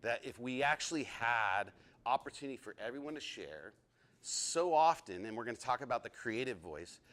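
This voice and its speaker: Guy leading a class, gets excited, keeps asking the room questions. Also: medium pitch, normal speed. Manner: excitable, talks a lot, asks the group questions. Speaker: teacher. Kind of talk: interactive leadership lesson.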